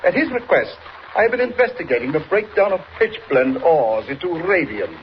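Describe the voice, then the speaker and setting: An actor playing an older, absent-minded, evasive scientist in 1940s radio drama, with fussy, hesitant, genteel manners. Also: male voice, fairly high pitch, big pitch swings, a little fast. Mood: hesitant, explaining. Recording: muffled, noisy vintage radio recording.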